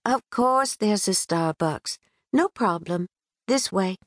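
A woman's voice: medium pitch, lively intonation, slow pace, no echo, studio quality. A woman voicing a brash, friendly young Southern woman with a Tennessee drawl, breezy and folksy. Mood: easygoing.

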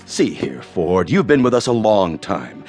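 Stern, curt boss, varied pitch. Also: male voice, very animated, a little slow, a little mellow, faint music behind, heavily acted. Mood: stern, impatient. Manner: curt, authoritative. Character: stern, businesslike male toy company boss, impatient and curt. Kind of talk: radio drama acting.

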